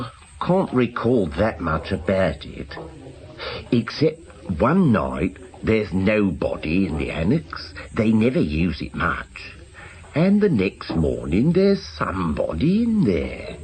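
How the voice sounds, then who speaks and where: A British actor playing a chatty caretaker in a radio drama, rambling and confiding in a naturalistic way. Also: male voice, lowish voice, wildly swooping pitch, slow pace, darkish tone, exaggerated voice. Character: gossipy working man, chatty clinic caretaker.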